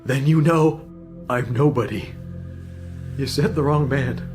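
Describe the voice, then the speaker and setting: A man's voice: mid-range voice, a little slow, close to an everyday voice. A man playing a bewildered, self-doubting everyman hero in a comedic fantasy audio drama, casual and emotive. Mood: defeated. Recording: music bed under voice.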